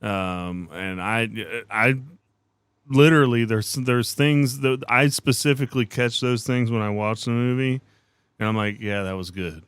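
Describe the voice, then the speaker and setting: A man's voice: medium pitch, unhurried, fairly bright tone, clear, resonant tone, no echo. A man hosting a podcast in a casual, conversational way, encouraging and complimentary toward his guests. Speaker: podcast host.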